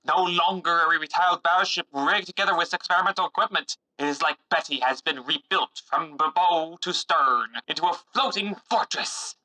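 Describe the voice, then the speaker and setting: A man's voice: a little high, moderate pace, few pauses, pushed and bright, low-quality recording. A man narrating a sci-fi adventure drama in a scripted, dramatic style.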